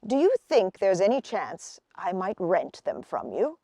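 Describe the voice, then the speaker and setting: A woman's voice: mid-range voice, very animated, a little slow, vocal fry, dark tone, no echo, pitched below the performer's own voice, exaggerated voice. A woman voicing a polite, kindly male squirrel in a storybook, with a comforting manner. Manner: polite, soothing.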